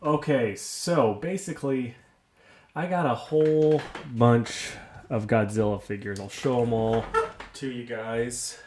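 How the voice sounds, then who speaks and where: Gravelly-voiced man, easygoing and rambling. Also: medium pitch, slow, background noise. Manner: easygoing, rambling, self-mocking. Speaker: vlogger unboxing toys. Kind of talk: casual unboxing chat.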